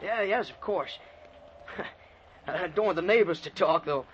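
Radio play: nervous, jealous husband, high voice, halting bluster, hissy recording. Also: male voice. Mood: uneasy. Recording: dull lo-fi radio recording, background hiss.

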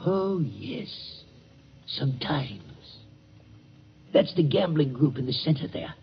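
A man's voice: fairly high pitch, sing-song, slow, vocal fry, a little hiss or hum, heavily acted. An actor playing a nervous old male night watchman at a waxworks, stammering and hesitant, in a 1940s radio drama. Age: older. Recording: dull old radio recording with music.

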